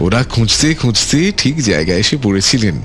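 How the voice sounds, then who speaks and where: An actor playing a sly, soothing captor in a radio play, unhurried and courteous with polite menace. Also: male voice, fairly low pitch, lively intonation, a little hiss or hum, lightly acted. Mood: calmly gloating. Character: sly, falsely courteous male captor on remote island. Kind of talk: Bengali radio play acting. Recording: radio play with music underneath.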